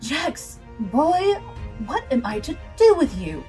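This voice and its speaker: Pirate captain, female woman narrator acting: higher register, sharp, authoritative, fierce, storybook adventure delivery. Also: very animated, slow, soft background music, full character voice. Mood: exasperated.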